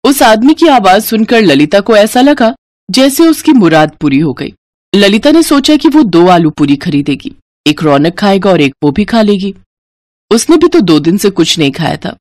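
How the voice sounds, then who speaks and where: A woman narrating a scripted moral story in an expressive storytelling manner. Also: medium pitch, moderate pace, dry recording, studio quality.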